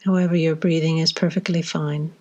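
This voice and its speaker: A woman leading a guided body scan meditation, giving slow, gentle instructions with long pauses between phrases. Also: low voice, level tone.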